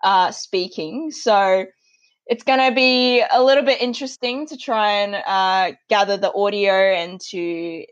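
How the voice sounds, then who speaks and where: A woman's voice: mid-range voice, unhurried. Upbeat young Australian woman, lo-fi audio. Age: young adult. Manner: upbeat, giggly, chatty. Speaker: podcast host. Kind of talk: casual podcast chat. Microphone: remote call audio.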